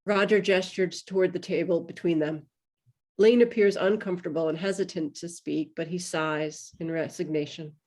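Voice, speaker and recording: A woman's voice: lowish voice, steady tone, moderate pace, a little mellow. A woman giving scripted narration, reading stage directions for a table read.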